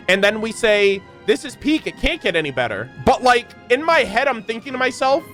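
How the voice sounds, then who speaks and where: Man, slightly high pitch, casual reaction commentary, reads lore aloud, rambling, hyperbolic, music in background. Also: pushed and bright. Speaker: YouTube reaction creator.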